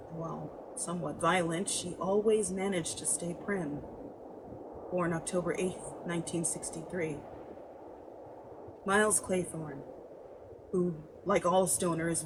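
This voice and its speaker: A woman's voice: fairly low pitch, noisy background, natural voice. A woman playing an unhinged, murderous young thrill-seeker in a theatrical, darkly gleeful dramatic monologue.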